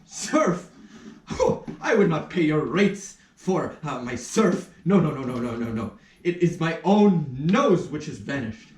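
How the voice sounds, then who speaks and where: Man playing puppet-show official, pompous, indignant, stammering, wildly swooping pitch, distant roomy recording. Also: very animated, unhurried. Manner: indignant, stammering, self-important. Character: flustered, pompous minor official who lost his nose. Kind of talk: theatrical puppet show acting.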